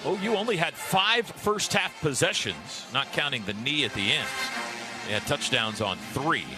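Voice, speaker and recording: A man's voice: medium pitch, expressive, moderate pace, over music. A man calling football play-by-play on radio in clipped, vivid phrases that swell on big plays. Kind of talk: live football play-by-play commentary. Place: stadium broadcast booth. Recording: clearly noisy live stadium broadcast.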